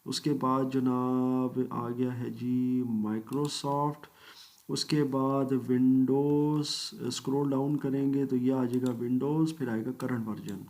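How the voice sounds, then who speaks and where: A man teaching a screen-recorded software tutorial, walking through each step methodically with polite asides and English phrases mixed in. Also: mid-range voice, flat delivery, slow pace. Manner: mixes English phrases into speech. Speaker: computer course instructor.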